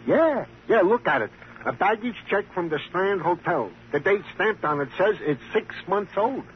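Through a muffled, faintly noisy old radio recording comes a male police sidekick with a fairly high voice. He is eager and pleased with himself, drawing out his comic radio-drama chatter. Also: expressive, moderate pace. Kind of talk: 1950s radio crime drama acting.

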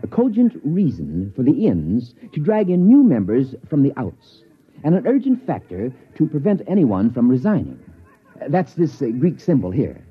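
A man's voice: medium pitch, wildly swooping pitch, normal speed, husky, heavily acted. A man playing an earnest, scholarly sociology professor in mid-century radio drama, explaining things with small hesitations. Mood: didactic. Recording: dull vintage radio recording.

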